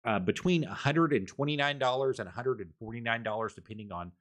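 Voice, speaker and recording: A man streaming a casual microphone review from a home setup, talking loudly and rambling with repeated words. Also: medium pitch, fast talker. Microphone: desktop condenser microphone.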